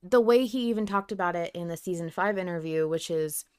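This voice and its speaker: Woman, podcast host, clear resonant voice, very dry recording, casual enthusiastic delivery. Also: on the low side, brisk, darkish tone, no echo. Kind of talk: casual fan podcast discussion.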